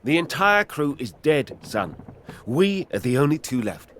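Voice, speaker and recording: A man's voice: a little high, sing-song, a little slow, a bit bright, a little hiss or hum. A man playing a fatherly ship's captain in an audio drama, soothing, sceptical and a little hesitant. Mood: defensive.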